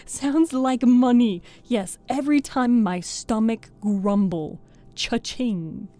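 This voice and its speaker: Woman, casual live-stream host, warm soft tone, giggly and friendly with chat. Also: a little high, slow pace. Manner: chatty, giggly, thanks viewers by name. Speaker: streamer and VTuber reading host.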